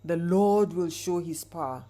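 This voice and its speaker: A woman voicing a grave, prophetic male pastor in a folktale, speaking solemnly and deliberately. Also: fairly low pitch, warm tone, close to an everyday voice. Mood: grave warning.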